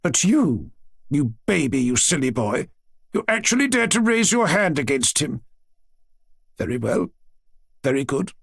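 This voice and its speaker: A man voicing a stern, wounded aristocratic old grandmother in a storybook, slow, emphatic and imperious. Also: on the high side, expressive, a bit bright, slightly rough, no echo, clean studio sound, full character voice.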